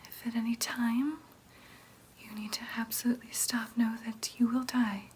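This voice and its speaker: A woman's voice: a little high, flat delivery, normal speed, a little mellow, a little hiss or hum, close to an everyday voice. Woman whispering as a soothing vampire nurse. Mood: calm, reassuring. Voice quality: breathy whisper. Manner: soothing, clinical, hesitant asides. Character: gentle, businesslike female vampire nurse tending a patient. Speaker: ASMR roleplay performer. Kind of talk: whispered ASMR roleplay.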